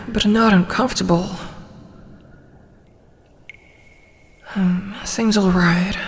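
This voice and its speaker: A woman playing a huge, possessive, flirty dragoness in an intimate ASMR roleplay, coaxing and teasing softly, often slipping toward a whisper. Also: fairly low pitch. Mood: tender, doting. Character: huge tsundere female dragon, possessive, flirty, doting captor. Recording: long cavernous reverb.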